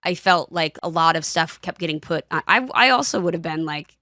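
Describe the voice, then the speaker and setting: A woman's voice: sits low, animated, fairly quick, bright, forceful tone, dry recording, clean studio sound. A woman co-hosting a podcast in casual chat, speaking with a slight lisp and rambling with restarts. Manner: rambling, many restarts and fillers. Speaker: podcast co-host and former child actor.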